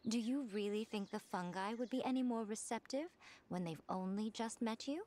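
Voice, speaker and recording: A woman playing an elegant, knowing lady mentor in an anime video game, with a composed, refined and gently teasing manner. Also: on the high side, normal speed, noisy background, natural voice. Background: faint game music.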